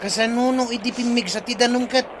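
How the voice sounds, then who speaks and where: An actress with an Ilocano Filipino accent playing a blunt, irritable villager in a radio comedy drama. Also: female voice, on the high side, fairly even intonation, fairly quick, background noise, lightly acted.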